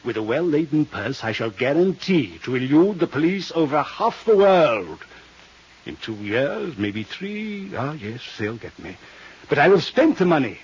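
Old radio villain: aged, genteel, greedy, verbose, theatrical; slightly rough tone, muffled fifties broadcast. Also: male voice, a little high, sing-song, a little slow, full character voice. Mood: gleeful, grandiose. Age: older. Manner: florid, theatrical, courtly phrasing with Latin flourishes. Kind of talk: 1950s radio drama acting. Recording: muffled 1950s radio recording.

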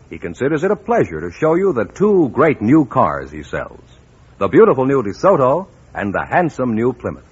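An American man reading a scripted 1950s radio advertisement as a commercial announcer, with a husky voice. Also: mid-range voice. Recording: dull vintage radio recording.

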